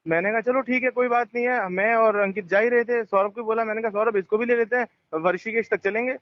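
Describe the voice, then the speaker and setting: A man's voice: very high voice, fairly even intonation, fast talker, no room sound. A man in a tense phone conversation, explaining himself insistently and repeating his points. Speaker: resort manager on a phone call. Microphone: telephone. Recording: muffled lo-fi recorded phone call.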